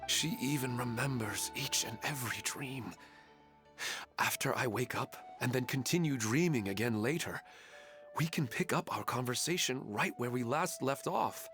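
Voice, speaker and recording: A grieving widower, voiced by a man, talks in a middling, ordinary voice, subdued and hesitant. He sounds weighed down by sorrow, unsure of himself. Soft music plays faintly in the background. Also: medium pitch, normal speed, close to an everyday voice. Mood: sorrowful.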